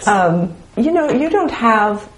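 A woman's voice: on the high side, big pitch swings, slow. A woman interviewing an author, admiring and eager, hesitating with many fillers as she builds her questions.

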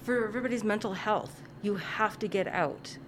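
A woman's voice: mid-range voice, normal speed, slight background noise. A woman who works at a cinema, chatting casually as an interviewee.

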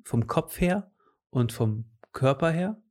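A man's voice: mid-range voice, animated, slow pace, a little mellow. A man in his late twenties chatting casually about his training goals, rambling with lots of fillers and restarts. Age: late 20s. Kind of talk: casual chat.

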